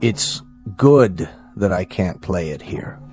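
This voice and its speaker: A good-natured small-town husband in a radio drama. He is mild and folksy, eager yet wary, with a lively rise and fall over soft music. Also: low voice, takes it slowly. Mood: wistful.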